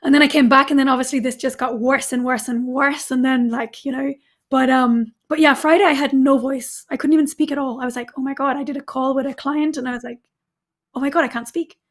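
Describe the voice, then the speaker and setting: A woman guest on a live stream, talking casually with a hoarse, worn voice, laughing often and using lots of ums. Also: high voice, steady tone, fairly quick. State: hoarse, recovering voice. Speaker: guest developer advocate.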